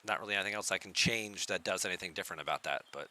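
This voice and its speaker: A man chatting casually on a live game stream, answering viewers in a relaxed, soft-spoken way at a home computer mic. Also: racing. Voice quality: soft-spoken, low volume.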